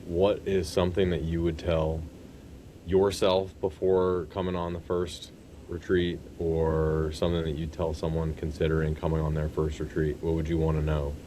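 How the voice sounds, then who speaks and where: A man hosting a podcast, asking calm, brief questions. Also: very deep voice, normal speed, a little hiss or hum. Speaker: podcast host. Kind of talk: interview questions.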